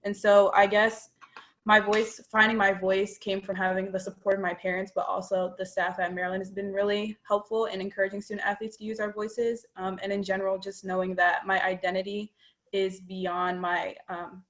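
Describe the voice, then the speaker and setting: Flat-toned young woman, thoughtful. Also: medium pitch, a little fast. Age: young adult. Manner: thoughtful, with frequent fillers. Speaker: student athlete panelist. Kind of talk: roundtable discussion.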